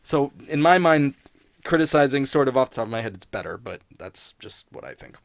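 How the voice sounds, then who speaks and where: A man's voice: medium pitch, very animated, fairly quick. A man hosting a podcast in casual, improvised rambling, with a sardonic, profane and self-mocking way of talking. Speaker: podcast host.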